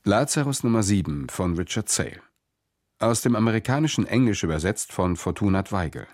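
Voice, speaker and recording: A man's voice: on the low side, animated, brisk. A man reading radio play credits as a formal announcer in a scripted style.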